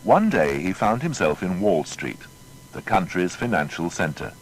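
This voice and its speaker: A man's voice: very animated, moderate pace, low-quality recording. A man narrating a scripted biographical documentary in a formal, dramatic storytelling manner. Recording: faint noise and low rumble.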